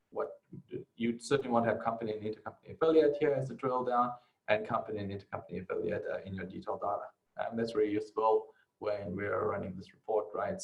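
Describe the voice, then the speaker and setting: A man with a fairly low voice and a strong vocal fry gives an informal software walkthrough. He speaks like an instructor thinking on his feet, with hesitant phrasing and frequent little tag questions to the listener. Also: poor audio. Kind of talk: informal screen-share software tutorial.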